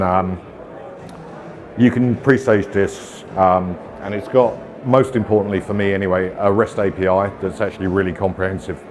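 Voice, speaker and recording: Middle-aged British man, low voice, casual conference presenter with filler-heavy delivery, audience murmur audible. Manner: casual, self-deprecating, frequent ums and uhs. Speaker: conference speaker. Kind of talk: technical conference talk.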